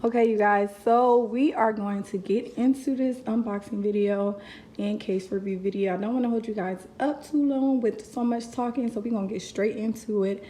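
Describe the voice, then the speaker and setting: A woman's voice: on the high side, steady tone, normal speed, dark tone. A young woman hosting a casual unboxing review, chatty and talking to viewers as "you guys", getting over a cold. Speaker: vlogger host. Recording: echoey room.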